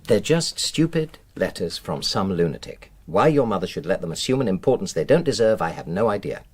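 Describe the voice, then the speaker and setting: A man's voice: deep voice, big pitch swings, a little fast, husky, heavily acted. An actor playing a defensive, indignant middle-aged English husband and father in a naturalistic radio drama, speaking in an emphatic, clipped, self-justifying way.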